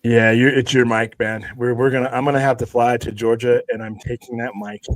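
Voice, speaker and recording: A man's voice: mid-range voice, little pitch movement, brisk, no room sound. A man co-hosting a live stream, ribbing his partner in incredulous, joking banter. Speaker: co-host. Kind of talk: casual live stream chat.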